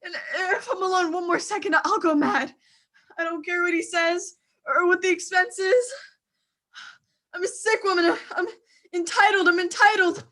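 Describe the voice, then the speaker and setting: A nervous, demanding invalid wife in a 1940s-style radio thriller. Her voice is extremely high. She sounds imperious and fretful, always on the edge of breathless panic. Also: steady tone, normal speed, pushed and bright. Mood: tearful despair. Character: anxious, demanding invalid wife terrified alone at home.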